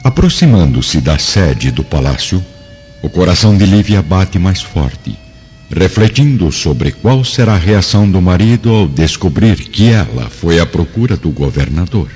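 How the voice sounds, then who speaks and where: A man's voice: low voice, sing-song, unhurried, a little mellow. A man with a Brazilian accent giving dramatic scripted narration for a radio drama. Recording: dull lo-fi audio with music.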